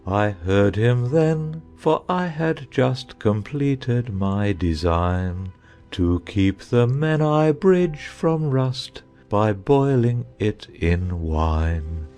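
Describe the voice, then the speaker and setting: A man as a gentle, mellow, wistful knight. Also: medium pitch, expressive, extremely slow, clean tone, soft background music. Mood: wistful, singsong. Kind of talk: dramatized audiobook acting.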